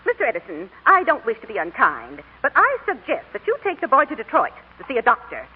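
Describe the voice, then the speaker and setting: Prim stern old-maid schoolteacher, fast n very animated, clipped, old radio drama sound. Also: female voice, a little high, in a large hall, a little hiss or hum. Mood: disapproving. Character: prim, stern, indignant small-town spinster schoolteacher. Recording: thin, phone-like old radio recording.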